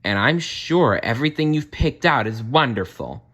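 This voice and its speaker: Man as a motherly fairy godmother, storybook character, kindly, gushing, lifted animated pitch. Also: normal speed, breathy edge. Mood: gushing, delighted. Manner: gushing, affectionate.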